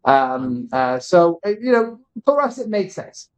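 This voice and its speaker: A man, a company CEO, answering interview questions in a thoughtful, halting way and often restarting his phrases. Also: high-pitched, very animated, clear, resonant tone. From Britain. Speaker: company CEO, podcast guest.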